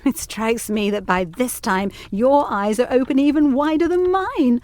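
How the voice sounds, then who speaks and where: A woman's voice: fairly high pitch, unhurried, darkish tone, dry recording, lightly acted. A woman playing an earnest, anxiously determined young governess in an audio drama, speaking formally and urgently. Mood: fervent resolve. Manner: formal, literary phrasing, urgent. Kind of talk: audio drama acting of Victorian gothic ghost story.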